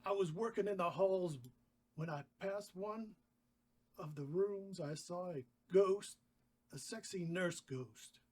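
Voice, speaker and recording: Very high-pitched crude cheeky puppet, man acting. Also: slow, darkish tone. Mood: boastful. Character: crude, cheeky, lecherous British-slang puppet sidekick. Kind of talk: comic table-read acting.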